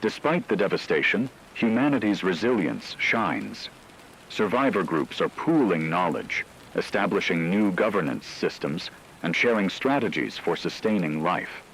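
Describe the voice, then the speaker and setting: Very deep, solemn radio newscaster played by a man. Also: a bit bright, a little hiss or hum, heavily acted, poor audio. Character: grave male radio newscaster reporting an apocalypse's aftermath. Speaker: radio news narrator. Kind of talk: scripted narration, fictional emergency broadcast.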